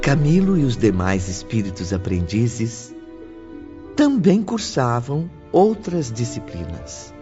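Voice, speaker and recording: A man reading scripted audiobook narration in the third person, deliberate, solemn and formal. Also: medium pitch, sing-song. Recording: dull lo-fi with music bed. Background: faint background music.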